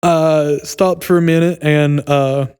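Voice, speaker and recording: A man's voice: on the high side, steady tone, takes it slowly, darkish tone, clear, resonant tone. A young adult man co-hosting a podcast, telling a story casually in an easygoing, chuckly way with folksy slang.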